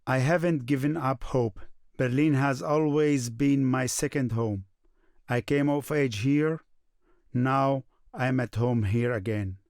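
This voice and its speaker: A man's voice: mid-range voice, slow pace, darkish tone, dry recording, studio quality. A man from Syria giving a scripted first-person narration.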